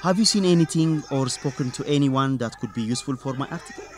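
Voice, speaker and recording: A man's voice: medium pitch, fast talker, lightly acted. A man playing a driven, inquisitive reporter in a radio drama. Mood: confident. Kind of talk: English-teaching radio drama dialogue.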